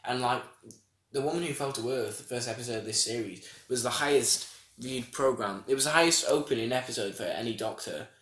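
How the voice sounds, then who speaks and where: A man's voice: mid-range voice, brisk, fairly bright tone. Rambling nasal man, echoey room. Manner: rambling, self-interrupting, laughs often. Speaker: podcast co-host. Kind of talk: casual chat.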